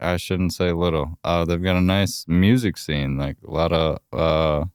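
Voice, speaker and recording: Deep-voiced man hosting a podcast. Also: fairly even intonation, measured pace, smooth, no room sound, studio quality. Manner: friendly, asks short follow-up questions. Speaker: podcast co-host. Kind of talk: casual interview chat.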